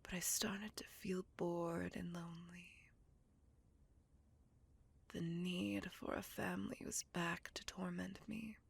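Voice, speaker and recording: A woman playing a seductive, possessive, coldly vengeful vampire in a slow, intimate, breathy whispered ASMR roleplay. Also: lowish voice, level tone, full character voice. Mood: wistful, brooding. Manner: slow, intimate, deliberate, savouring words.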